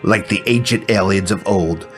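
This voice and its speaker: A deep-voiced, self-important male weather entrepreneur, played by an actor, makes solemn, grandiose claims in a satirical newscast. Soft guitar music sits behind his low voice. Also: normal speed, natural voice. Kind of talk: satirical broadcast pitch.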